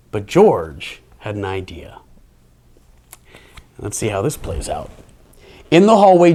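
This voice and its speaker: A man reading a children's picture book aloud, playful and expressive, dropping into dry, deadpan asides. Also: a little high. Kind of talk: animated storybook reading with wry asides.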